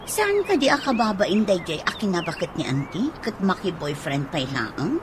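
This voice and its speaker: A woman playing a fretful adult daughter in an Ilocano radio comedy drama, speaking in an animated way. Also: fairly low pitch, normal speed. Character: fretful adult daughter, a gossip-troubled nurse. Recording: radio drama with clear background noise.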